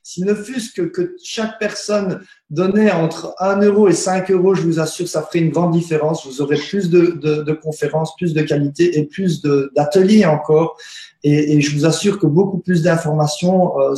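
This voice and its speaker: A man's voice: fairly high pitch, steady tone, moderate pace, dark tone, reverberant. A man who is not a native speaker, a guest therapist talking in a casual interview, hesitant, with many fillers and restarts. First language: not French.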